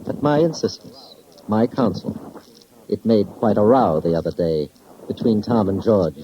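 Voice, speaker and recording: A man's voice: on the low side, a little slow, lightly acted. A man acting as a smug professional in a 1950s radio crime drama, with ornate, pompous, mocking phrasing. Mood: wounded, disdainful. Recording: dull, hissy vintage radio recording.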